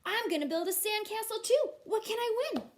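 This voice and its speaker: Woman voicing an eager, high-pitched stubborn little boy. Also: expressive, moderate pace. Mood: excited. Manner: emphatic, exclaiming.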